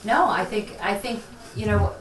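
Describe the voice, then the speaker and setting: A woman's voice: medium pitch, measured pace. A woman host leads an interview in a friendly, encouraging tone, keeping the conversation moving. She sounds distant from the mic in an echoey room with faint hiss behind her. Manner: friendly and encouraging, laughs often. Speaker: talk show host. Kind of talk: interviewing guests.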